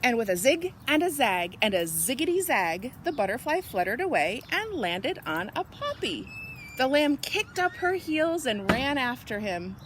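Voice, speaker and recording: A woman reading a picture book aloud to children as expressive narration, sing-song and emphatic. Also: sits high, a little slow, noisy background.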